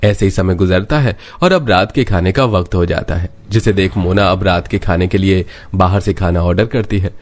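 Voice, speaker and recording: A man's voice: low-pitched, moderate pace, hardly any pauses, a little hiss or hum. A man reading scripted narration as the storyteller.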